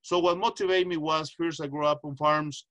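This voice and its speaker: A man's voice: fairly high pitch, steady tone, moderate pace, fairly bright tone. A middle-aged man from Costa Rica answering as a panelist on a video call, thoughtful and earnest, with many filler sounds. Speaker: panelist, agricultural economist. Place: home, video call with virtual background. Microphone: webcam or laptop mic on video call.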